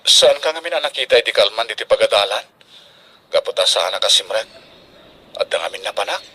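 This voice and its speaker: A man's voice: fairly low pitch, animated, brisk, pushed and bright. An actor with a northern Philippine accent playing a shy, lovestruck male student, hesitant and pleading, in a radio drama.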